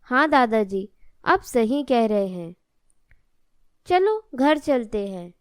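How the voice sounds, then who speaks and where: A woman's voice: a little high, big pitch swings, slow pace, clean tone. A woman voicing an innocent, earnest little grandson in a cartoon moral story. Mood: curious, earnest. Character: innocent, devoted young grandson, a little boy.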